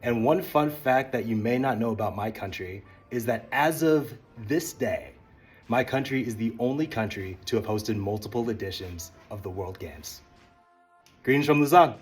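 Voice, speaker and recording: A man's voice: medium pitch, animated, moderate pace. An American man, an athlete, introducing himself in a short video greeting. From United States. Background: faint music.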